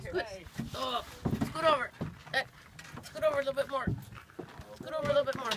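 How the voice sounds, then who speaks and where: A young woman talks to her small child while filming on her phone at an outdoor park. Her voice sits very high and she gives instructions in a coaxing way. Loud wind and outdoor noise fill the phone recording. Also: fairly even intonation, a little fast. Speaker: mother filming a birthday outing. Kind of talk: casual family chat. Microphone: phone camera held by the speaker.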